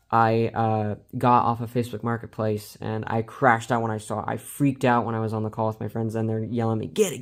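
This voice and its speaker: A man's voice: mid-range voice, darkish tone. Casual, rambling man in a hobby vlog. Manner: informal, rambling, hesitant, slangy. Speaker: hobbyist collector presenting a collection video. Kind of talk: casual unscripted show-and-tell vlog.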